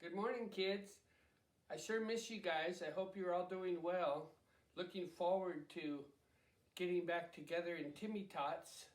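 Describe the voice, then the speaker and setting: A woman's voice: lowish voice, measured pace. A woman giving an informal greeting to children, recorded in a roomy, echoing space.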